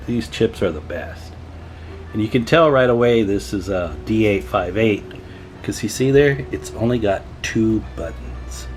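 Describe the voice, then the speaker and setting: A man's voice: lowish voice, darkish tone. A man giving a casual, chatty show-and-tell as a radio repairer and video host, folksy and enthusiastic.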